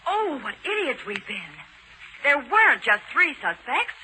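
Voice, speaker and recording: An actress playing an eager, quick-witted American detective's assistant, dramatic and expressive in 1940s radio style. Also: female voice, sits high, full character voice. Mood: eager, triumphant. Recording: noisy 1940s radio recording.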